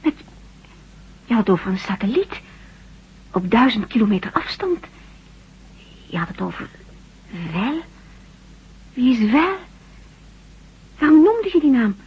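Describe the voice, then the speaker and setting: Soothing housewife, radio drama, very husky, lilting, slightly high, gentle, coaxing, muffled vintage recording. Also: female voice, very animated, a little slow, long pauses, exaggerated voice. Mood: worried. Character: caring, watchful, soothing woman at home.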